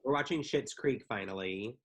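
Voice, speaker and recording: A man co-hosting a live podcast in a mid-range voice. He talks in a relaxed, casual way and often pauses on ums and restarts. He sounds friendly and unhurried. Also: medium pitch, husky. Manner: easygoing, hesitant with frequent ums. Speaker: podcast co-host.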